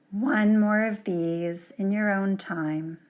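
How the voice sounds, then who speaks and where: A woman yoga teacher leading a guided relaxation in a gentle, soothing manner, leaving long pauses between short phrases. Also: mid-range voice. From Canada.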